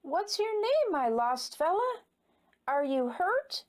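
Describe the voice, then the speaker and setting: A woman voicing a cheerful, excitable magical little boy in a storybook, bouncy and eager. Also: very high voice, slow pace, pitched above the performer's own voice, exaggerated voice. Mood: eager, concerned.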